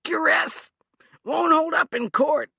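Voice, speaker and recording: A woman's voice: sits high, lively intonation, a little slow, fairly bright tone, no echo, clean studio sound, pitched above the performer's own voice, exaggerated voice. A woman narrator voicing a cowardly man who whines and yelps while pinned down in the snow. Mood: yelping. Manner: whining, pleading, yelping.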